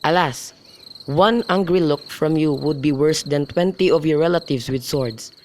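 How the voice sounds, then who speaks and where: A man's voice: fairly high pitch, normal speed, natural voice. Man as reckless, lovestruck young nobleman, amateur radio Shakespeare, slightly noisy homemade recording with night insects. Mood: ardent. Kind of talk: student radio drama acting of modernized Shakespeare. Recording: noisy home recording, crickets behind.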